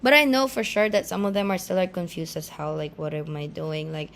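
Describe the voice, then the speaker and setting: A woman's voice: fairly low pitch, steady tone, fairly quick, vocal fry. A woman in her twenties, raised in the Philippines, talking to camera in a chatty, distractible, self-mocking way full of "like" and restarts. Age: 20s. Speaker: vlogger. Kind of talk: casual rambling chat to camera. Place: room in the Philippines.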